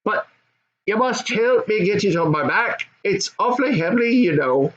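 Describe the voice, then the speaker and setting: A man's voice: sits high, lively intonation, moderate pace, fairly bright tone, highs cut off, pitched above the performer's own voice. A man voicing a young, strong goblin son who complains and questions his elders. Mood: grumbling.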